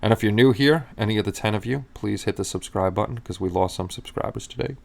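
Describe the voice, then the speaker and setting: A man's voice: on the low side, fast talker, creaky, a little mellow. A middle-aged man hosting a casual live stream, rambling easily and chatting with his viewers.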